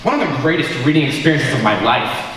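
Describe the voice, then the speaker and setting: A high school student actor playing Bill as an eager, awkward young man in a stage comedy, projecting his small talk in an auditorium. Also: sing-song, fast talker, a bit bright, far from the mic. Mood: enthusiastic. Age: teenager or young adult high school student. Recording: echoey auditorium recorded from afar, noisy.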